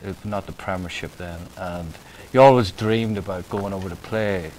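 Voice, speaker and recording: A man's voice: sits low, steady tone, normal speed, a little mellow, a little hiss or hum, poor audio. A middle-aged Irish man from Ireland, a bar owner chatting as a guest with an easygoing, dry-humoured manner. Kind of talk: casual chat.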